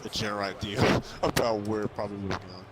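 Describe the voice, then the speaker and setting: A man casually chatting through a movie review, haltingly, often repeating and restarting his phrases. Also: fairly low pitch, heavy background noise. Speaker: video host. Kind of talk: casual chat, movie review over a video game. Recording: basketball game crowd and bouncing behind. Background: basketball video game crowd and ball bounces.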